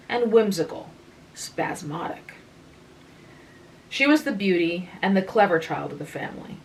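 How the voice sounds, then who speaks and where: A woman reads a short story aloud for relaxation. Her slightly low voice stays calm and unhurried. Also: slight background noise, low-quality recording. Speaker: reader and channel host.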